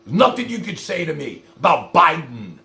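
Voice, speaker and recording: A man's voice: on the high side, sing-song, normal speed, gravelly, pushed and bright. A man hosting a livestream, ranting at his viewers in a profane, confrontational, exasperated way with blunt rhetorical questions. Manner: addresses viewers directly with repeated rhetorical questions. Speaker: livestream host.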